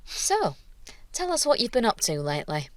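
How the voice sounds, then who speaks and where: A woman playing a businesslike casting director running auditions, courteous and smoothing things over, in naturalistic audio drama. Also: fairly high pitch, huge pitch swings, moderate pace, dry recording.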